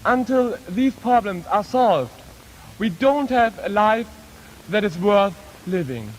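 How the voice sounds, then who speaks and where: A young man from Germany with a German accent, an exchange student giving an earnest, deliberate formal speech. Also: sits high, animated, low-quality recording. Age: young adult. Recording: 1950s archive with faint noise.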